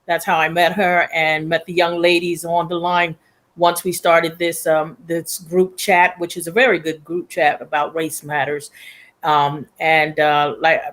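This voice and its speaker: A retired woman from Camden, New Jersey, co-hosting over a video call, relaxed and rambling with many fillers. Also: low voice, unhurried. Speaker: co-host.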